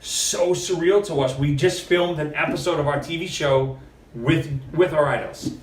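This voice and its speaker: A middle-aged man, a podcast guest, telling stories in a casual, animated way and acting out what other people said. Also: a little high, normal speed. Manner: animated storyteller, quotes others with lively shifts. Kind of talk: casual storytelling interview. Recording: roomy, mic at a distance.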